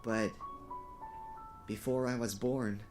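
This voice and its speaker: A man's voice: mid-range voice, fairly even intonation, slow pace, music in the background, lightly acted. Man playing an awkward, apologetic young student. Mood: awkward confession. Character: awkward, mild-mannered cursed teenage boy student. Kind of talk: animated comic dub voice acting.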